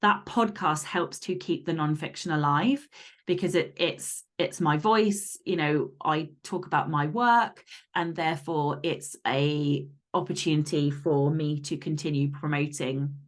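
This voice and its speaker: A woman speaking as a guest author and podcaster in casual interview chat, candid, animated and emphatic. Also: sits low, a little slow.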